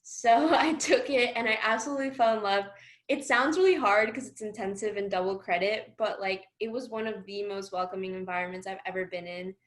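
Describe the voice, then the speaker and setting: A woman's voice: medium pitch, steady tone, fairly quick. Enthusiastic young woman, informal student speaker. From Peru. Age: young adult. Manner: enthusiastic, gushing, slangy. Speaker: student panelist. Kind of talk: informal personal account on a panel.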